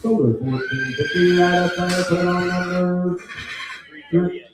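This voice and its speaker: A man working as a livestock auctioneer, chanting bids in a rhythmic, flat singsong rattle. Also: unhurried, noisy background. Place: large indoor sale barn. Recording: boomy echoing sale barn, distant mic.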